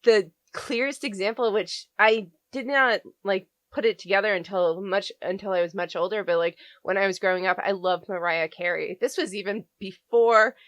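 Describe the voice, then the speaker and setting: A woman's voice: mid-range voice, moderate pace, hardly any pauses, fairly bright tone, no echo. Young woman, chatty, laughs a lot, dry close sound. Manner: chatty, many fillers and laughs. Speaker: podcast cohost. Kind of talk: casual chat.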